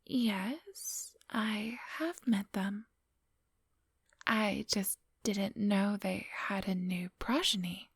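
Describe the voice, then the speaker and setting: A woman playing a centuries-old vampire boss in an ASMR roleplay, whispery and breathy, speaking close to the microphone in a silky, patronizing, quietly menacing manner. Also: medium pitch, dry recording, full character voice. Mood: guarded, smooth. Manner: slow, unhurried, silky and patronizing.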